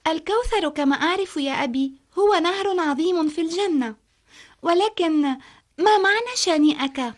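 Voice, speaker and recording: A bright, high little voice of a curious child, full of earnest questions for her father, as a woman acts her out. She speaks like a child in a storybook audio, inquisitive and eager. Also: expressive, normal speed.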